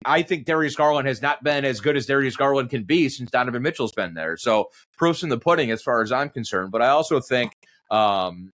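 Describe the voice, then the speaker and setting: Male, sports commentator in conversation. Mid-range pitch, measured, reflective delivery with emphasis on key points. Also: a bit bright. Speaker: sports radio play-by-play announcer, podcast guest.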